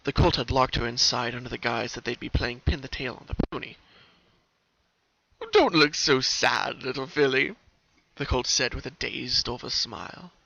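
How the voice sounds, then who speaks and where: Creaky-voiced man reading a dark story. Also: mid-range voice, level tone, brisk, hardly any pauses, a little hiss or hum. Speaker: fan fiction reader. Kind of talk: dramatic scripted story narration. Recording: dull, muffled home recording.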